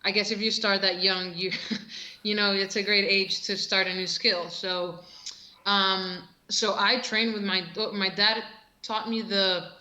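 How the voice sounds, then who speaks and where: A young woman from Costa Rica, an interview guest, telling her story in a relaxed way with many fillers and restarts over a video call. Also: mid-range voice, echoey room, rough recording. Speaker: interview guest, professional soccer player.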